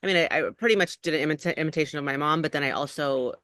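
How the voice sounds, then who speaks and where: A woman's voice: sits low, rapid-fire, fairly bright tone, dry recording. A middle-aged woman, an actor and acting coach, talking casually as an interview guest and rambling with lots of "like" and "you know".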